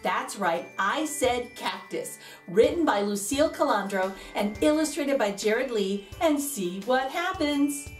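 A woman's voice: fairly high pitch, lively intonation, faint music behind. A middle-aged woman reading a picture book aloud to children, theatrical and rhythmic, drawing out the ends of lines. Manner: theatrical, rhythmic delivery with drawn-out line endings. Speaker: children's story reader and channel host.

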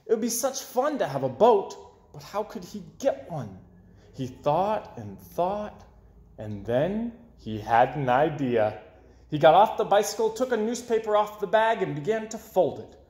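A young man reading a children's story aloud in an expressive way, with dramatic pauses. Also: high voice. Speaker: storybook reader. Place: small indoor room with bookshelves. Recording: roomy, echoey indoor sound.

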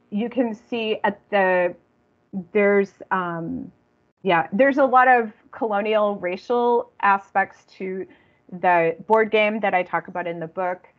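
A woman lectures informally over a video call. Her pitch is average and she proceeds haltingly, reworking phrases as she speaks. The audio is dull and lo-fi. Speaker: guest lecturer and author. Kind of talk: informal academic online talk. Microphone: computer video call.

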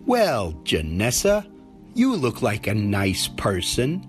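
A menacing gang leader taunts in a cocky drawl for an audio drama. The delivery is slow with lively swings of pitch, over faint music. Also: male voice, exaggerated voice. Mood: taunting menace.

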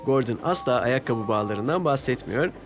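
A man's voice: mid-range voice, expressive, normal speed, over music. A man narrating a scripted children's story as a storyteller.

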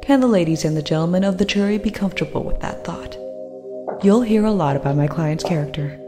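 A woman playing a sharp, defiant defense attorney, arguing formally in a comic-book courtroom audio drama. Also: lowish voice, a little fast, close to an everyday voice. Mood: assured. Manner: formal, argumentative. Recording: music behind the voice.

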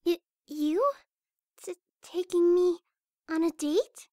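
A timid young mare played by a woman with a very high, soft voice. She is gentle and nervous, stammering into her words in a hobby audio drama. The recording is dry and close. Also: steady tone, slow pace, dry recording. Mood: hesitant, timid. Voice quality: soft, quiet. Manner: hesitant, stammering. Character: shy, gentle, nervous young female pony. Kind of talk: amateur audio drama acting.

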